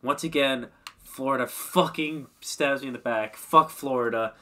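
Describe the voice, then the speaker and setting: A man's voice: medium pitch, moderate pace, sharp tone. A young man slightly congested, rambling casually and self-mockingly while commentating a hockey management game at his desk mic. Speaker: gaming commentator.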